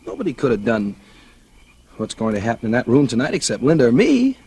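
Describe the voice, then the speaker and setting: An actor with an American accent playing a casual, calculating, scheming husband in a 1940s radio thriller. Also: male voice, mid-range voice, huge pitch swings, brisk, darkish tone, husky, full character voice. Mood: confident, conspiratorial. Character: smooth-talking, scheming husband plotting murder. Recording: noisy old radio recording.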